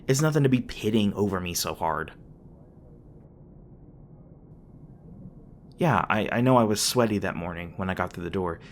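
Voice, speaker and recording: A man's voice: low voice, animated, fast, slight background noise. A man playing a flustered, tender demon boyfriend in an intimate ASMR roleplay, speaking hesitantly with stammers, bashful and a little defensive. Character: flustered, tender young male demon boyfriend, secretly human-born.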